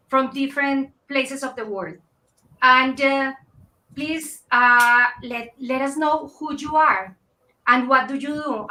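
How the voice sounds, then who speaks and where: Bright high-voiced woman moderating a panel. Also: low-quality recording. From Colombia. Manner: gracious, slightly halting with fillers. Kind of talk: hosting an online panel.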